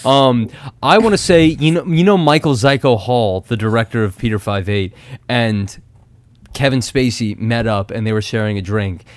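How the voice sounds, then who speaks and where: A man's voice: mid-range voice, moderate pace, no room sound. A man in casual podcast banter, riffing on jokes and laughing along.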